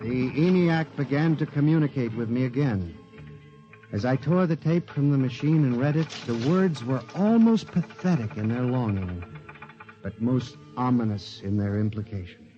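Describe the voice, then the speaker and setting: An actor playing a frantic, tormented clerk in an intense, melodramatic 1950s radio drama style. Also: male voice, mid-range voice, moderate pace, warm tone, faint music behind, lightly acted. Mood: grim, haunted. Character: frantic, tormented male clerk narrating his nightmare. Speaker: lead actor and narrator. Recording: muffled old 1950s radio recording.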